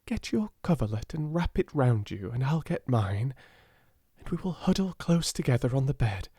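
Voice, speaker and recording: A man's voice: mid-range voice, very animated, moderate pace, dark tone, slightly rough, no echo, clean studio sound, voice pushed up. A man voicing a brave, imaginative little girl with a British accent, earnest and kindly in a storybook reading. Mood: comforting, dreamy.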